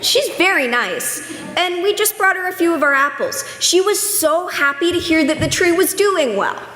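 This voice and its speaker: A woman's voice: very high-pitched, expressive, moderate pace, a bit bright. A woman playing an earnest village child in a readers theater stage play. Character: earnest village child, hopeful but skeptical. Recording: echoey hall, faint noise.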